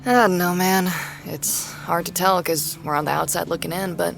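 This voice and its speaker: Gravelly, blunt, wry woman. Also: fairly low pitch, steady tone, normal speed, faint music behind. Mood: frank, caring. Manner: slangy, wry, casual. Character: blunt, foul-mouthed woman, tough but caring drinking buddy. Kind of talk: naturalistic audio drama acting.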